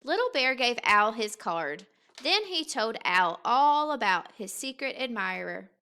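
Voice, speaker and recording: A woman reading a children's story aloud in an expressive, unhurried, deliberate way. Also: a little high, smooth. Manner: unhurried, expressive, deliberate phrasing for young listeners. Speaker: teacher reading a picture book aloud.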